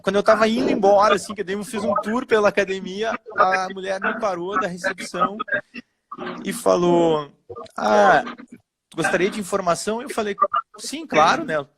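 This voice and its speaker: Guy, gravelly voice, bit higher pitched, people chatting behind him. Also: moderate pace, over a noisy crowd, rough recording. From Brazil. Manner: animated storyteller, acts out dialogue. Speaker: personal trainer interviewee. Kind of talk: casual storytelling interview.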